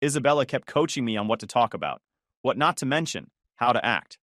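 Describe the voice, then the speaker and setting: A man's voice: medium pitch, big pitch swings, brisk, a bit bright, no room sound, studio quality. A man narrating his own story in the first person, in a sarcastic, wry way.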